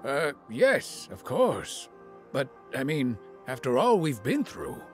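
A courteous little old demon in a video game. He is a genial, folksy thief with a mid-range voice and very expressive intonation, over faint music. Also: medium pitch, slow. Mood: deferential. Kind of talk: video game cutscene dubbing.

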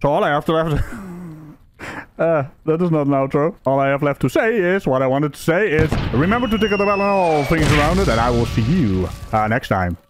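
A man's voice: a little high, lively intonation, fairly quick, soft background music. A man doing comedic reaction commentary in a sarcastic, mock-outraged manner with some swearing.

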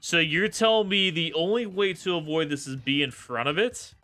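Young dude streaming a retro game, bright voice, cussing at it, faint game music behind. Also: male voice, a little high, normal speed. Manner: frustrated, swearing, laid-back. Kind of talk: casual live gameplay commentary.

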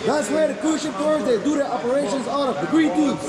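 A playful man working as a cave tour guide, teasing guests in repetitive, joking patter. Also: over a noisy crowd. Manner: playful, teasing, repeats himself, often addresses people as Mama. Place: inside a dark river cave on the water. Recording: noisy echoing cave, rushing water rumble.

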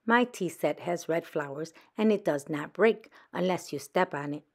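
A sly little girl badger from a storybook, voiced by a woman reader. The voice is on the low side with some creak. The talk is bossy and persuasive, with coy dodging. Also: normal speed, a little mellow. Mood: smugly sure. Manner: persuasive, coyly evasive. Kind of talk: children's picture book read-aloud.

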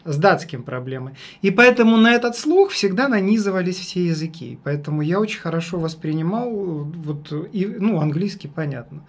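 High-voiced tenor man, halting and repetitive. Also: animated. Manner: halting, repeats his phrases for emphasis. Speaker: guest, singer and vocal teacher. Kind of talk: casual radio interview. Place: small radio studio. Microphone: studio condenser microphone.

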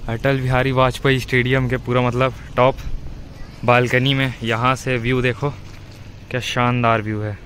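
A man's voice: mid-range voice, little pitch movement, moderate pace, a bit bright. A teenage boy vlogging from a phone camera as he moves through streets and a stadium. He chats casually and keeps calling out to his viewers. The recording is noisy, with traffic and crowd sound from outdoors. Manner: chatty, addressing viewers as guys. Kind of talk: casual vlog narration. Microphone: phone camera at arm's length.

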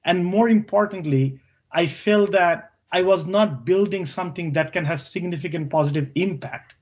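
A man's voice: high-pitched, moderate pace, lo-fi sound. A man around forty from Bangladesh, a native Bangla speaker with a Bangladeshi accent, answering interview questions about his career. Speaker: podcast guest, tech co-founder.

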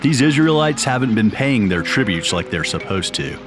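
A man's voice: medium pitch, very animated, normal speed, music in the background, close to an everyday voice. A man playing a gloating, cruel warlord in a biblical radio drama. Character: gloating villainous oppressor warlord.

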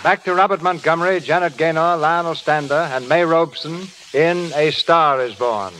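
A man narrating a scripted radio play in a formal, measured 1930s announcer style. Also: fairly high pitch, background noise. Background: music.

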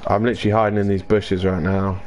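A young man streaming a shooter game, bantering crudely and yelling when excited. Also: fairly low pitch, fast talker. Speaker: gaming streamer. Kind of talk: casual gameplay chat with swearing.

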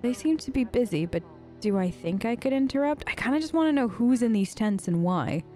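A woman's voice: fairly high pitch, animated, fairly quick, mellow, soft background music. A woman hosting a gaming video, improvising role-play chat in a chatty, polite, rambling way.

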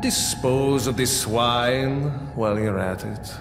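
Cold cult leader villain in a game: slow, disdainful, commanding, echoing in a big hall over music. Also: male voice, mid-range voice. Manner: measured, disdainful, imperious. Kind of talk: video game cutscene acting. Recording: echoey hall reverb, music behind.

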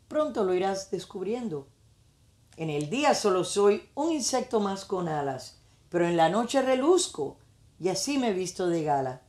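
Woman voicing a wise, unhurried little firefly. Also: mid-range voice, a little mellow, reverberant.

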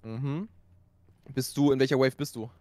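A man's voice: mid-range voice, fairly even intonation, normal speed, dark tone. A man chatting with a friend during a game, offering helpful suggestions. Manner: helpful, suggesting ideas, laughs easily. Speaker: fellow player. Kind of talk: casual chat over voice call.

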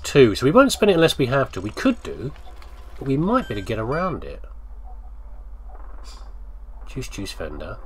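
A man's voice: mid-range voice, wildly swooping pitch, moderate pace, pauses often, a little hiss or hum. A man giving casual gameplay commentary, rambling and thinking aloud as he talks to himself. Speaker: gamer commentating on playthrough.

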